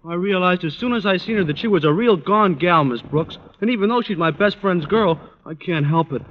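An actor playing a naive, slangy American high-school athlete, earnest and lovesick, in an old-time radio comedy. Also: male voice, fairly quick, natural voice. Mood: lovesick, troubled. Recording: dull old radio recording, hall reverb, hiss.

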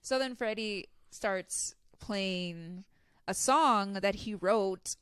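A woman co-hosting a casual podcast, chatty and animated as she retells what happened, a little stuffy. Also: mid-range voice, drawn-out words, clean tone, no echo, clean studio sound. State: slightly stuffy. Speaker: podcast co-host and singer. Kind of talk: casual chat.

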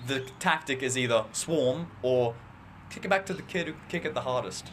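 A teenage boy with a low bass voice, chatting casually as podcast co-host, rambling and talking in bursts. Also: a little fast, slight background noise. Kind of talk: casual chat.

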